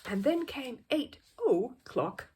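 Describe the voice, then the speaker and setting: A woman reading a children's story aloud as an expressive, animated narrator. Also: on the high side, slow pace, slightly rough.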